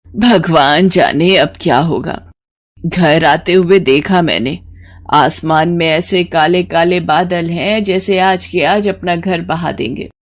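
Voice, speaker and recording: Woman voicing a worried elderly village mother-in-law, low-voiced. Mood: bitter, dejected. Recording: noticeable background noise.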